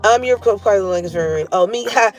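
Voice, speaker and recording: A woman vlogger talking in a loose, rambling monologue full of fillers, her voice lowered from smoking cigars. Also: quick pace, faint music behind.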